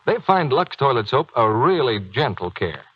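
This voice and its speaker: A man announcing a commercial in a polished, persuasive 1940s radio advertising style with a slightly husky voice. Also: mid-range voice, very animated, moderate pace. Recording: dull 1940s radio broadcast recording.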